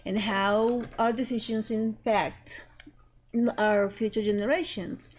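A woman's voice: mid-range voice, steady tone, takes it slowly. A young woman from Ecuador, a guest in casual chat, speaking carefully and searching for words. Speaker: guest entrepreneur. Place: small studio with green-screen set.

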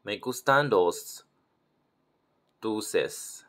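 A man from Taiwan, practicing pronunciation slowly and repeating words as he corrects himself. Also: fairly low pitch, animated. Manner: slow, repeats words, self-correcting with soft laughs. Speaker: language learner. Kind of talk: self-recorded pronunciation practice.

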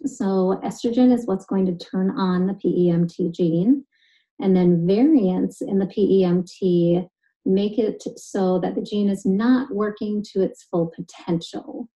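Mellow-voiced woman explaining nutrition. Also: mid-range voice, a little slow, highs cut off. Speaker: nutritionist and genetic specialist. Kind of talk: scripted educational explainer.

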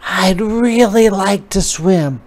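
A man's voice: high voice, slow, pitched above the performer's own voice. A man voicing a small, polite young female spider in an earnest, pleading way for a storybook.

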